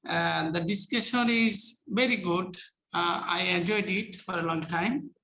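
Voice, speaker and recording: Bright, gravelly-voiced man from Bangladesh. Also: high-pitched, poor audio. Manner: formal, halting, repeats greetings. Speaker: government official attendee. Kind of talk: formal remarks on a video call. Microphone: video call.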